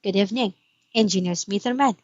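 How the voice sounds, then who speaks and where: A woman's voice: mid-range voice, fairly quick, a little mellow, no room sound, highs cut off, natural voice. Cold, formal businesswoman, as a narrator plays her. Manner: formal, detached, professional. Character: cold, formal businesswoman, poised estranged wife. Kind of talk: audio drama dialogue.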